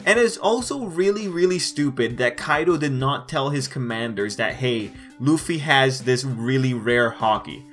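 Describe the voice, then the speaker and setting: Male reviewer, mid-pitched, casual emphatic commentary that repeats itself for effect, music underneath. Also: expressive, normal speed, few pauses, a bit bright. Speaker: reviewer of manga chapters. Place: voice-over over still images.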